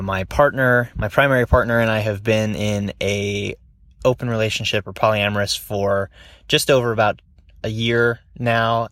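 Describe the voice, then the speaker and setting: A man speaks in a fairly low voice with no room sound at all. He delivers an informal recorded message as a show listener. His phrasing is polite, hesitant and a little rambling. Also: a bit bright, no echo, studio quality. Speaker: podcast listener sending an audio question.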